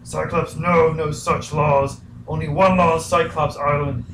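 Man playing a slow, brutish cyclops giant. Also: flat delivery, fairly bright tone. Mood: menacing. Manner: slow broken speech, booming threats. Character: hungry brutish male cyclops giant, menacing. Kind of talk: amateur puppet story dialogue. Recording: noisy, echoey room, far from mic.

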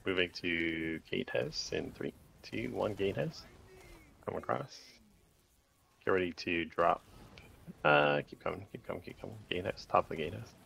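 A man leading a raid group over voice chat, calling short commands in rapid repeated bursts with countdowns. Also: deep voice, gravelly, a bit bright, slight background noise.

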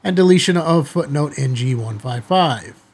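A middle-aged American man with a slightly husky voice, talking informally and directly to viewers as a video host. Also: on the high side, expressive, normal speed, a little mellow, a little hiss or hum. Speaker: amateur radio video host. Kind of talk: casual chatty commentary to viewers. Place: small indoor studio office, seated at desk.

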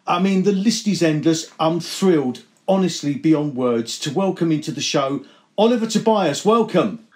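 Guy hosting a radio show, fairly high voice, gushing with lots of ums. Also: expressive, normal speed. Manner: effusive, full of fillers. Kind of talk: radio interview.

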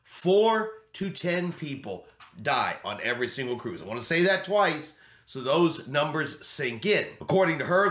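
Middle-aged man, somewhat higher-pitched voice, emphatic explanatory commentary, vlog host, noticeably roomy indoor sound. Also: a bit bright. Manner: emphatic, repeating key points. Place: small home studio room, indoors.

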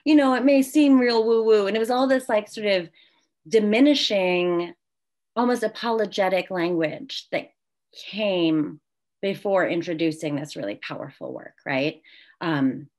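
A woman's voice: medium pitch, unhurried, darkish tone. A woman in her thirties speaking informally and reflectively as an interviewer on a video call, often saying "like". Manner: informal, peppers talk with "like". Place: indoor room at home, video call.

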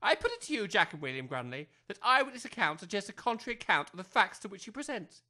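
A man's voice: high-pitched, sing-song, fast, pushed and bright, full character voice. A man playing a pompous, easily outraged major in an audio comedy drama, with florid, formal speech. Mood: pompous, accusing.

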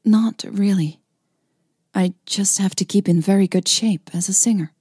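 A woman's voice: medium pitch, moderate pace, dark tone, breathy edge, no room sound, clean studio sound, natural voice. Woman voicing a reserved, studious opera singer. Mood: dismissive. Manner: terse, hesitant answers. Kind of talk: audio romance story dialogue.

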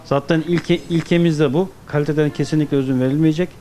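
A middle-aged man giving halting, unscripted answers as a restaurant manager being interviewed. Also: on the high side, fairly even intonation, mellow. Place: restaurant dining room. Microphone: handheld microphone. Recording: clear background noise, restaurant ambience.

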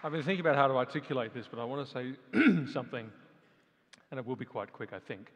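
A man's voice: mid-range voice, lively intonation, quick pace, a little hiss or hum. Thoughtful man speaking in a hall. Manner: thoughtful, searching for words. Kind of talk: reflective talk in a panel. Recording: long hall reverb.

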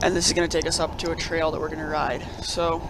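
A man's voice: on the high side, level tone, fast, background noise. A young man with a cold, chatting casually as a channel host and rambling with many fillers and restarts. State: has a cold. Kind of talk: casual video commentary. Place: at home.

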